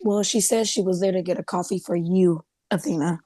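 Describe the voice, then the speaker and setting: Woman playing a mellow, chatty office assistant. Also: fairly low pitch, fairly quick, no room sound, close to an everyday voice. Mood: defensive, gossipy. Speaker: actor in a script table read. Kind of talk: dramatic script reading.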